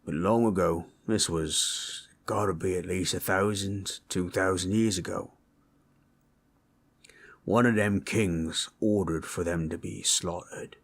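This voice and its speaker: A gentle orc storyteller, acted by a man with a very low voice. He takes his time, soothing a listener in an intimate roleplay audio with plain, rustic, ungrammatical speech that carries a husky grain. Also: fairly even intonation, slow pace, exaggerated voice. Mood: somber. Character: gentle, comforting male orc, rough-spoken old-lore storyteller.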